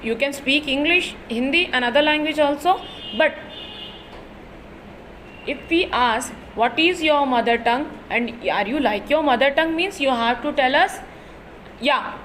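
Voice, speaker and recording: A woman's voice: high-pitched, a bit bright, a little hiss or hum, rough recording. A woman with an Indian accent teaching a lesson in a didactic way, repeating and rephrasing her points and posing questions as she explains. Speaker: Hindi teacher. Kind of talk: bilingual classroom lesson explanation.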